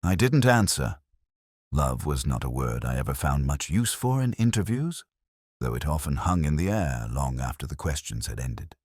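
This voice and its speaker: A man narrating a scripted first-person detective story in measured, literary phrasing. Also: very deep voice, very animated, darkish tone, slightly rough, no room sound, clean studio sound.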